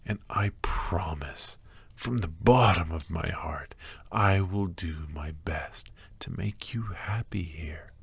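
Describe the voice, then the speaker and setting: A man playing an obsessive, doting and sinister kidnapper in an ASMR roleplay, speaking softly and haltingly with breathy whispers and sighs. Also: sits low, no echo, heavily acted. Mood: adoring. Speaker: voice actor.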